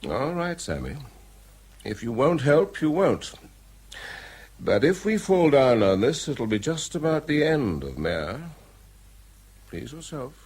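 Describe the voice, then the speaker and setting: A man's voice: medium pitch, sing-song, slow pace, long pauses, a little mellow, husky, full character voice. An actor playing an evasive British office politician in a radio drama, chummy and condescending, hesitating as he placates. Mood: chummy persuading. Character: smooth-talking, evasive male office politician, patronisingly chummy.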